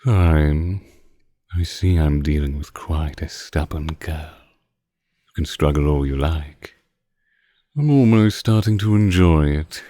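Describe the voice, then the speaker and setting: A man playing an ancient, suave vampire lord in a scripted roleplay drama, speaking with deliberate, condescending menace and archaic phrasing. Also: rumbling low, warm tone, dry recording, clean studio sound, full character voice. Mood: amused, condescending. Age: older. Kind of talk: scripted roleplay audio drama, intimate close-mic monologue.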